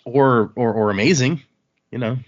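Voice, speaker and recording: A man's voice: mid-range voice, moderate pace, highs cut off. A man hosting a casual book discussion in an informal, chatty, digressive way. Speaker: podcast host.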